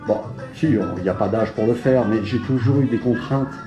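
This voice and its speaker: A man's voice: lowish voice, fairly quick, dull audio. An older man from French Polynesia and Vietnam, casually looking back on his life in conversation. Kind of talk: casual reminiscing chat. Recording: roomy echo with music behind.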